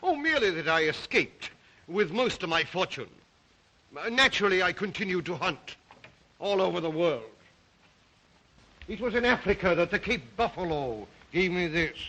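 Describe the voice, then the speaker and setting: An actor playing a suave, obsessive Russian count and hunter, speaking with a Russian accent in a deliberate, theatrical, old melodrama manner. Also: male voice, sits high, lively intonation, bright, forceful tone, heavily acted, rough recording. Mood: proud, boastful. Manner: theatrical, deliberate, brooding, courtly. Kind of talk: 1930s film-era melodramatic acting.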